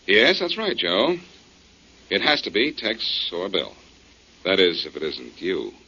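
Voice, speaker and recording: A low-voiced, cool American sleuth from a 1940s radio mystery. His delivery is assured and dryly sardonic, with lively swings of pitch, on a muffled vintage recording. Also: measured pace, background noise, exaggerated voice. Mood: cool, probing. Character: cool, wry amateur detective in command. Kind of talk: 1940s radio drama acting.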